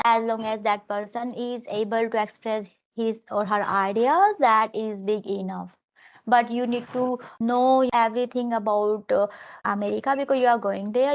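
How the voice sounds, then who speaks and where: A woman's voice: a little high, muffled recording. A woman English teacher coaching a learner conversationally in an instructive way, repeating key phrases for emphasis. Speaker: English teacher, primary school teacher.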